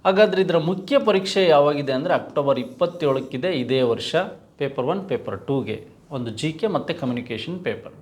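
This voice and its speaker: Brisk man teaching history. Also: fairly high pitch. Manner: explanatory, repeats key terms, rhetorical questions. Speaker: exam coaching teacher. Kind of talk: exam-prep history lecture.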